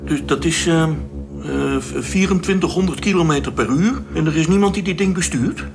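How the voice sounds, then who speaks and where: A man's voice: fairly high pitch, normal speed, close to an everyday voice. A man acting in a radio drama as a wary, easily astonished spaceship crewman, hesitant and incredulous. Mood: alarmed, doubtful.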